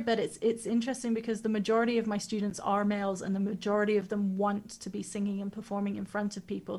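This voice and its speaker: A woman's voice: medium pitch, a little mellow. A woman vocal coach answering interview questions casually, explaining with everyday comparisons and demonstrating sounds.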